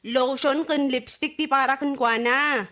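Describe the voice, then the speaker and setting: A woman's voice: high-pitched, fairly even intonation, unhurried, a bit bright. A woman acting a breezy, self-indulgent daughter home from abroad in an Ilocano radio comedy drama.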